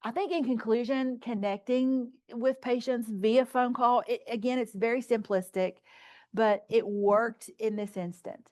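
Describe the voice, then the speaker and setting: A woman's voice: fairly high pitch, fairly even intonation, measured pace, a little mellow. A woman presenting at a healthcare conference, telling patient stories and results in an earnest, informal, plain-spoken way. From rural area.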